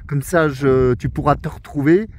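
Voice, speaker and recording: High-voiced man on the radio outdoors. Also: normal speed, a little hiss or hum. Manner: relaxed, friendly, explains gear. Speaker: radio amateur and YouTuber. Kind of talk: casual radio chat. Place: outdoors on a mountain at night.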